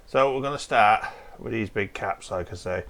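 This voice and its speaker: Hoarse man chatting through a repair. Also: fairly low pitch, moderate pace, fairly bright tone. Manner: chatty, rambling explanations with frequent fillers. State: hoarse from a cold. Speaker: host of a retro computer repair channel. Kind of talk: casual repair walkthrough. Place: home workshop.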